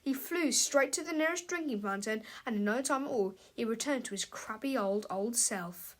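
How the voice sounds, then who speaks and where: A woman giving an expressive read-aloud narration of a children's book. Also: a little high, normal speed. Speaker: storybook reader.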